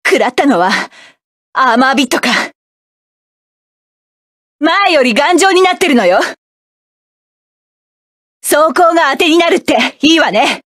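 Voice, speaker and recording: Proud hardened mech pilot woman, high voice, clipped barks, dry studio sound. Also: lively intonation, unhurried, pauses often, no room sound. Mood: battle-ready. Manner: sharp, self-assured, clipped combat barks. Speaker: game character. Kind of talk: game battle voice lines.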